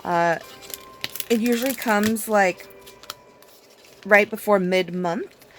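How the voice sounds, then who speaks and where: A woman vlogger losing her voice, her speech hoarse and raspy as she chats casually through a nail routine with plenty of fillers. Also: medium pitch, slow pace, fairly bright tone, faint music behind. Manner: rambling, chatty, with many fillers and small laughs. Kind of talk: casual chat while doing her nails.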